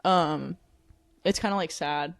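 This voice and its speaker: A young woman with a voice that runs deep for a woman chats easily as a podcast guest. She strings her thoughts together with lots of "like" and the odd casual curse. The sound is close and dry, with no room around it. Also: takes it slowly, creaky, dry recording. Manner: chatty, heavy use of "like", casual swearing. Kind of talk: casual chat. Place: apartment room.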